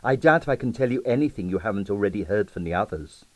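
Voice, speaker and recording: A man's voice: fairly low pitch, expressive, fast, dry recording, lightly acted. A man reading the part of a candid, mischievous young ballerina in an audiobook, confiding and wry.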